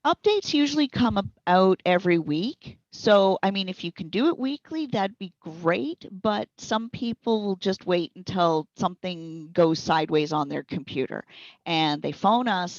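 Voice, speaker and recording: Woman, tech support interviewee. Low voice, chatty folksy explainer, dry lo-fi audio. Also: no echo. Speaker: interviewed speech software trainer and support provider. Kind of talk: informal explanatory interview answers. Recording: dull, lo-fi audio.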